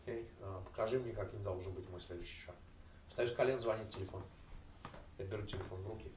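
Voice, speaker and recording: A man's voice: on the low side, fast. A man speaking casually to a recovery group, telling jokes and using slangy, coarse words. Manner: animated, laughing, slangy and coarse. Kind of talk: casual joking talk to a group. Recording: roomy, distant, dull with faint noise.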